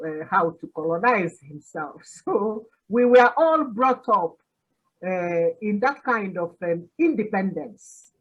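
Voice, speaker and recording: A Nigerian woman in her sixties, an Igbo speaker, telling her story as a podcast guest with frequent pauses and laughter. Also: medium pitch, dull audio. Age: 60s. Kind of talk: reflective interview answer.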